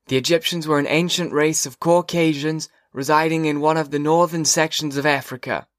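A man voicing an old, sarcastic male history teacher who lectures slowly and pointedly. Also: on the high side, steady tone, fairly bright tone, no room sound, studio quality, pitched above the performer's own voice, natural voice.

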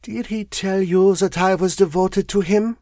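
A man voicing a pale foreign wife, quiet, coldly controlled and intense, in an audiobook story. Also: high voice, animated, measured pace, no echo, clean studio sound, voice pushed up. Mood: scornful.

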